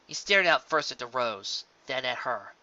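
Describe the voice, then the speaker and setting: Bright-voiced amateur fiction reader, lo-fi recording. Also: mid-range voice, lively intonation, normal speed. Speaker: fan fiction reader and reviewer. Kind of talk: dramatic story reading and informal commentary. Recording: dull lo-fi sound.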